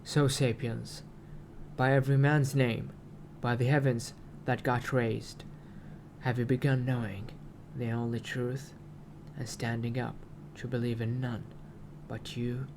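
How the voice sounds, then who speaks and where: A man voicing a solemn alien prophet who makes grandiose, halting proclamations in archaic words, as in a storybook reading. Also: medium pitch, little pitch movement, a little mellow, a little hiss or hum, natural voice.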